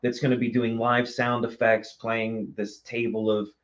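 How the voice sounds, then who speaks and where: A man speaking earnestly and haltingly as a theater guest in a casual interview. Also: medium pitch, flat delivery. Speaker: theater company member and guest. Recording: dull, lo-fi sound.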